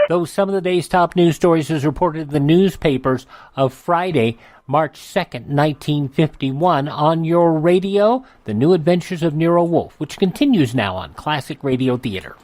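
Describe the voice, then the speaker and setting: A presenter reads scripted news in a radio announcing style. The voice sits at a medium pitch, with a faint noise behind it. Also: darkish tone.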